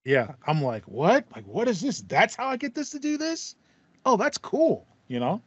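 A man's voice: high-pitched, wildly swooping pitch, moderate pace, breathy edge, dry recording. A man in his thirties co-hosting a podcast in casual chat, acting out his thoughts as he talks. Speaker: podcast co-host. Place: small room at home with acoustic foam, indoors. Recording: slightly dull, band-limited audio.

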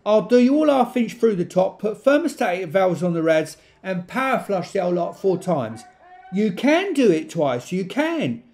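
A man's voice: high-pitched, vocal fry, darkish tone. A man playing a chatty, defensive working-class plumber in an audio drama, protesting and repeating himself colloquially.

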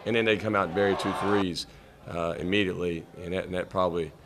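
A middle-aged man, the head coach, answering questions at a postgame press conference with a table mic, measured and halting, repeating words as he thinks. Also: low-pitched, fairly even intonation, noisy background.

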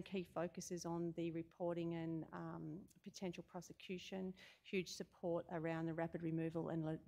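A woman's voice: fairly low pitch, gravelly, a little mellow. A woman council officer summing up a strategy report to a meeting, with frequent ums, in a large council chamber. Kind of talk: formal report briefing.

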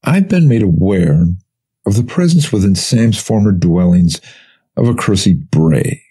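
An actor playing a deceitfully tame male detective sergeant, measured, formal and probing, in a gritty audiobook drama. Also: on the low side, lively intonation, warm tone, husky. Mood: calm, probing.

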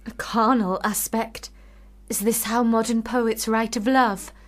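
A woman's voice: a little high, fairly even intonation, unhurried, natural voice. An actress playing a passionate, bitter, lovelorn young woman in a radio play, with intense, literary, theatrical delivery. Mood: wounded, intense.

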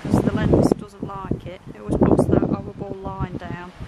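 A man's voice: high-pitched, flat delivery, moderate pace. An Englishman filming a beach fishing trip, chatting casually and chuckling as he talks to the angler. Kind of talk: casual running commentary. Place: open beach outdoors by the sea. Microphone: handheld camera mic, speaker off-screen. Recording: loud wind buffeting the mic.

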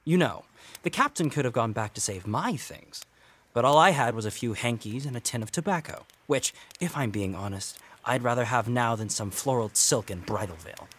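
An actor playing a gruff, mocking deckhand in a Regency audio drama, sarcastic and needling. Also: male voice, mid-range voice, expressive, moderate pace, fairly bright tone. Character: gruff, resentful, mocking male ship's deckhand.

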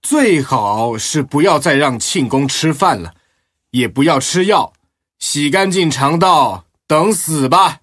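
A man's voice: fairly high pitch, expressive, slow pace, fairly bright tone, no echo, studio quality, heavily acted. An actor playing a self-assured wandering fortune-teller monk in an audio drama, coldly pronouncing his verdicts. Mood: smug, cold.

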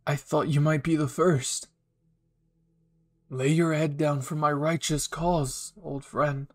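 A man acts out an unhinged young male anime villain. He is bitter and sure of his righteous cause. He speaks very slowly, with menacing, taunting pauses, in a slightly high voice. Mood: brooding, righteous. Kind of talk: anime fan ASMR roleplay acting.

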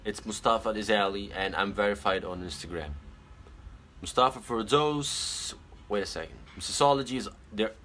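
A young man who grew up speaking Arabic talks casually into a phone held at arm's length in a small bedroom. His voice sits a little low, and his earnest advice comes out halting, full of "uh" and "um". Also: pushed and bright, slight background noise. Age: young adult. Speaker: pageant titleholder on a live stream. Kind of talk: casual live-stream chat reading viewer comments. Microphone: phone camera at arm's length.

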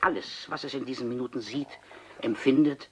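An actor playing an obsessed, coolly persuasive seventy-year-old scientist in a radio crime play, deliberate and insistent, with dramatic pauses. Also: male voice, medium pitch, a little mellow, slight background noise, close to an everyday voice. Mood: solemn, pondering. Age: older.

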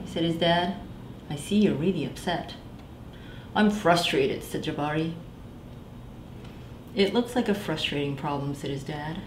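A woman in her thirties, a librarian, reading a picture book aloud to children and doing the characters' voices. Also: lowish voice. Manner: animated, voices characters, addresses children directly. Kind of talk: children's storytime read-aloud. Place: small library room indoors. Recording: echoey room with faint background noise.

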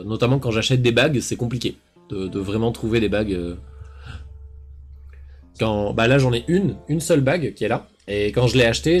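Husky-voiced man chatting on a cooking stream. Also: medium pitch, a little mellow. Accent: southern French, faint. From south-east France. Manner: chatty, rambling, many fillers and restarts. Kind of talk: casual live-stream chat while cooking. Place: home kitchen and small room. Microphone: headset mic.

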